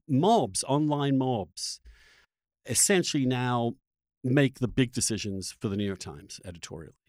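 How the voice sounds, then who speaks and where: A man hosts a conversational discussion of politics in a dry, close recording. His mid-pitched voice moves animatedly up and down. He is emphatic but halting, often doubling back on words. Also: darkish tone, dry recording. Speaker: podcast host interviewing a guest.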